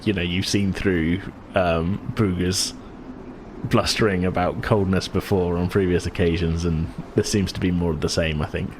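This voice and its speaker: A man's voice: low voice, fairly even intonation, normal speed, noisy background. A man running a tabletop game as game master, narrating casually in a rambling, self-correcting way.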